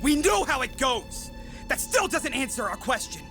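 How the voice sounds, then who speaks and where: An actor playing a defiant, hot-headed young hero in an audio drama, feisty and impassioned. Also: male voice, very high voice, big pitch swings, moderate pace. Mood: angry. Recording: loud music behind.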